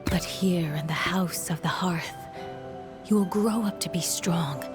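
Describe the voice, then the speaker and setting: Slow, stern orphanage mother played by a woman. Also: on the low side, over music, lightly acted. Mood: solemn, grooming. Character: stern, controlling orphanage mother, coolly soothing. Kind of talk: video game voice acting.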